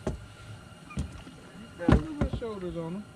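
A man's voice: high voice, very animated, unhurried. A tired man with a drawl, talking in a folksy, teasing way to the camera and to the fish he catches while camping and fishing. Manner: drawling, folksy, talks to the fish and teases them. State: tired and sleepy. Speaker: fishing vlogger. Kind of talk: casual running talk to camera while fishing. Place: outdoors on a lake at night, camping. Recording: noisy outdoor lake recording. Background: lake and outdoor ambience.

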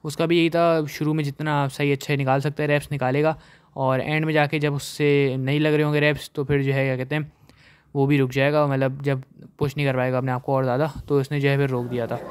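A young man calmly narrating his gym workout as a voice-over, with many fillers. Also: mid-range voice, a little fast, clean tone. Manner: steady recap, heavy fillers.

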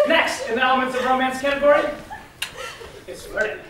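A young woman on stage playing a brisk, authoritative scientist, presenting rules in a projected, comic lecture style. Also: high-pitched, lightly acted. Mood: brisk lecturing. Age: young adult. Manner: declarative, projected stage speech. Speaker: actor in a stage comedy. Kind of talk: theatrical comic lecture delivery. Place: large indoor theatre stage. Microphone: far camera. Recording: echoey theatre, distant mic, noisy.

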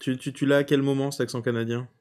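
Relaxed male livestream host. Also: medium pitch, fast. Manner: brief backchannels, relaxed follow-up remarks. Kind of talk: casual chat.

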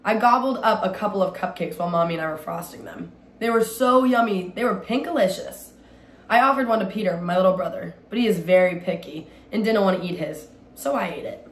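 A woman's voice: mid-range voice, a little fast. Young woman reading a storybook, roomy sound. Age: young adult. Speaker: read-aloud storyteller. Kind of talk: expressive children's storybook reading. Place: small indoor room at home. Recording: roomy echo, light background noise.

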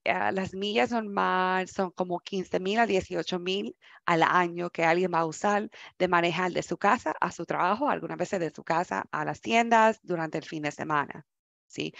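A woman's voice: fairly low pitch, vocal fry, fairly bright tone, no room sound, highs cut off. A woman, an English speaker, presenting a financial workshop in conversational Spanish, restarting words and mixing in English terms.

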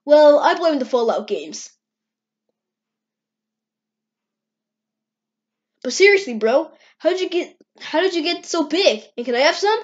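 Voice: high voice, lively intonation, fairly quick. A performer voicing a cocky, teasing young male hedgehog with slangy talk in an amateur cartoon comic dub. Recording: dull, lo-fi screen-recorded sound.

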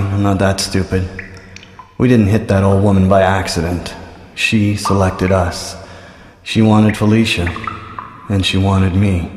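Haunted male captive turned keeper played by a man, radio drama, low and grave, long echoing reverb. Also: lightly acted. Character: haunted captive man becoming a dark caretaker. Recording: echoey hall reverb.

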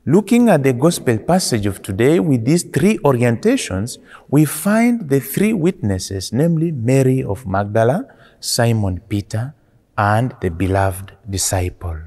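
A man in his forties, a priest giving a homily in slow, deliberate preaching with rising and falling emphasis, recorded indoors in a small church. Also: mid-range voice, sing-song, a little mellow, long reverb tail.

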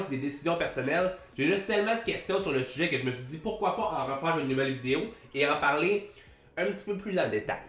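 A young Québécois man with a head cold talks quickly and nasally, with his hands flying, as a fitness coach vlogging to camera. His voice sits a bit high for a man. A boom-arm desk mic picks him up in a small room with some echo. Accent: Québécois French. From Quebec. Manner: fast, animated, swears casually, gestures a lot. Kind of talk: casual vlog talk to camera. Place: small room with a plain grey wall. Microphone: large desk mic on a boom arm.

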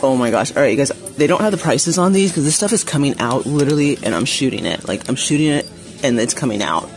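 A woman vlogging as she shops in a big home goods store, chatting casually to viewers with frequent gasps and excited exclamations. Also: a bass voice, fast, soft background music. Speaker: shopping vlogger. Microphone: phone camera held while shopping.

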